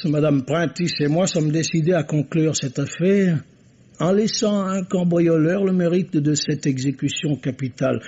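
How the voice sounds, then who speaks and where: A dull, vintage radio recording of a male police commissioner. His voice is fairly high and slightly husky. He speaks formally and theatrically, with the courteous self-assurance of a shrewd detective laying out deductions. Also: a little mellow, full character voice. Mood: grave, magnanimous. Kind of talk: vintage French radio mystery acting.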